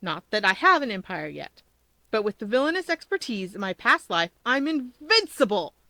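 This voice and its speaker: A woman's voice: mid-range voice, big pitch swings, moderate pace, bright, forceful tone, dry recording. A woman voicing a grandiose, gleeful male supervillain who monologues pompously in a fan fiction reading. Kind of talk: fan fiction audiobook character voice.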